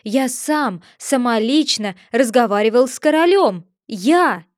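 Lady doing a snooty egg guy from a storybook, bossy and loud, kinda high. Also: expressive, no room sound, clean studio sound. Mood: boastful. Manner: haughty, lecturing, quick to take offense. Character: pompous touchy male egg figure.

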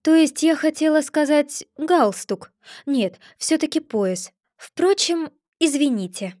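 A woman's voice: high-pitched, animated, takes it slowly, darkish tone, no room sound, clean studio sound, pitched above the performer's own voice. A woman voicing a polite, earnest seven-year-old girl who speaks carefully and apologizes.

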